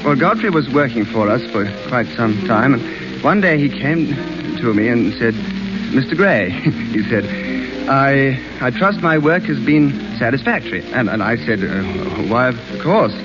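An actor plays a jovial rich polo player in a mid-pitched voice, breezy and chummy. The sound is a dull, scratchy old radio broadcast with music behind. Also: male voice, animated, a little slow, natural voice. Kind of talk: 1930s radio drama acting.

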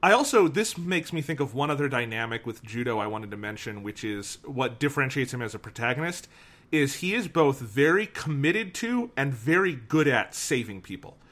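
A man co-hosting a casual review discussion, talking in an animated way and laughing as he tells a story. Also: mid-range voice, moderate pace. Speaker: podcast co-host.

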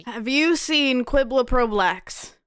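A woman's voice: sits high, lively intonation, takes it slowly, a bit bright, clear, resonant tone, dry recording. A woman playing a tabletop game, playful and brash, laughing often. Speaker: player. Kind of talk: casual tabletop play.

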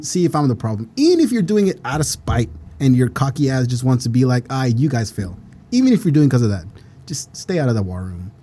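A man's voice: medium pitch, dark tone. A man from San Jose, a podcast guest telling stories bluntly and casually. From San Jose, California. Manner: storytelling, blunt, casual swearing. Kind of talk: casual chat.

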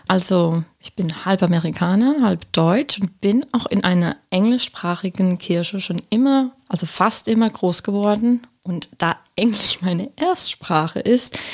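A woman's voice: medium pitch, creaky. Chatty Palatinate German woman. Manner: chatty, thinks aloud with fillers and restarts. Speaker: podcast co-host. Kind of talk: casual chat about the Bible.

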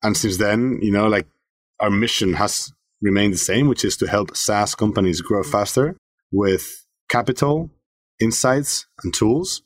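Low-voiced man from Spain, fast and dry. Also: no echo. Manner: fast, filler-heavy, frequent restarts. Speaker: startup CEO guest. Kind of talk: conversational podcast interview.

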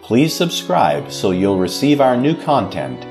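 An American man speaking as a pastor in a measured, solemn and formal devotional style. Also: mid-range voice, sing-song, over music. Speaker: pastor and podcast host. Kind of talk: devotional scripture reading, short sermon and prayer.

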